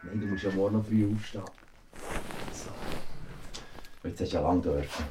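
An actor playing a brisk, firm parent at a child's bedtime in a Swiss German radio play. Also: low-pitched, noisy background, lightly acted.

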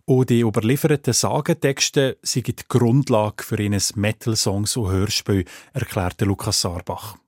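A man's voice: on the low side, fairly even intonation, measured pace, hardly any pauses, a little mellow, breathy edge, no echo, studio quality. A man with a Swiss German accent reading scripted narration as a radio reporter.

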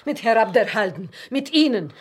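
An actress playing a blunt, emphatic office cleaner with a conspiratorial streak in a naturalistic radio play. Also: female voice, on the high side, animated, normal speed, breathy edge. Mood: outraged. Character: indignant, conspiratorial working-class woman, an office cleaner.

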